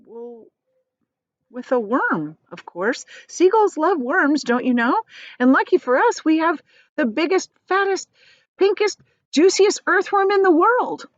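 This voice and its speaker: A woman voicing a calm, determined young boy in a children's storybook, explaining things earnestly and confidently. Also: extremely high pitch, unhurried, dry recording, dull audio, pitched above the performer's own voice. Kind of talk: children's storybook character reading.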